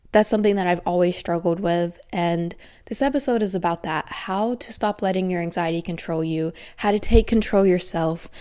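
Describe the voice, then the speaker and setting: A woman's voice: lowish voice, dark tone. A young woman hosting her podcast alone, talking in an earnest, grateful, reflective way while stuffy and congested from a cold. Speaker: podcast host. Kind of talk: casual solo podcast talk.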